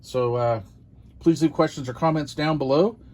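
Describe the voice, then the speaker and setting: A man's voice: fairly high pitch, normal speed, darkish tone. An older American man chatting casually as he hosts a hobby unboxing video, rambling along in a folksy, self-deprecating way.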